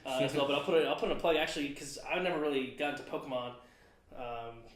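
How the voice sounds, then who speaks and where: A man in his twenties hosts a relaxed podcast conversation at a middle pitch, circling his points with plenty of "you know" and "uh". The sound carries a noticeable roomy echo. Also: quick pace. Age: 20s. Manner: rambling, thinks aloud with many fillers. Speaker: podcast host. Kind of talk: casual chat.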